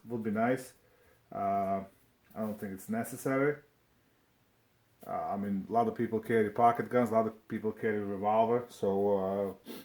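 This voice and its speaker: This is a man with a slightly low voice and a Slovak accent. He talks like a casual video reviewer working without a script. His delivery is halting and hesitant, and he often doubles back on his words. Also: darkish tone. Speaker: video presenter reviewing firearms. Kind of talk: casual unscripted product review.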